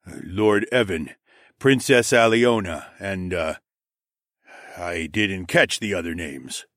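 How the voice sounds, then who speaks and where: A man's voice: mid-range voice, big pitch swings, takes it slowly, a bit bright, no room sound, studio quality, heavily acted. A man voicing a protective, stubborn male werewolf pack leader in an audiobook, hesitant and placating in manner. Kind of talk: audiobook character dialogue.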